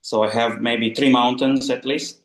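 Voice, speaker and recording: A man from Romania introducing himself on a video call, halting with many pauses and fillers. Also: medium pitch, steady tone, reverberant. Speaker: call participant.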